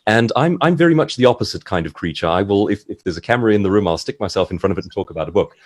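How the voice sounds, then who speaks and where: A man giving conversational seminar advice as an author and speaker, articulate and explanatory, with measured phrasing. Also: lowish voice, animated. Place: online video-call seminar.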